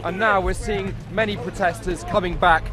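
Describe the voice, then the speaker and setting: An outdoor news clip with music laid over it. A man reports from the scene in a high-pitched voice. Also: fairly even intonation, normal speed.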